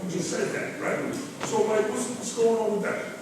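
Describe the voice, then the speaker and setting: A man's voice: high-pitched, flat delivery, normal speed, noisy background. A man giving an impassioned, preachy speech at a community meeting, emphatic and full of street slang. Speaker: community group member. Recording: echoey room, distant mic, crowd.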